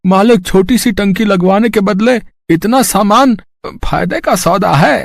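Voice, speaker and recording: A man's voice: high voice, measured pace, creaky, a little hiss or hum, voice pushed up. A man voicing an eager, respectful village underling in a moral-story cartoon. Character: eager, deferential male servant or villager.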